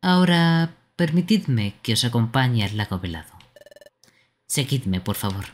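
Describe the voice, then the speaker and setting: A man voicing a solemn, easily offended mystical lake guardian in a game, formal, measured and theatrical, with a husky voice. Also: medium pitch, slight background noise, close to an everyday voice. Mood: solemn welcome.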